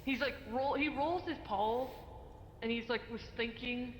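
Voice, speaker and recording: A woman running an improvised roleplay as game master, narrating haltingly and thinking aloud over voice chat. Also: a little high. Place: online VR voice chat. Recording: echoey room, faint noise, voice chat.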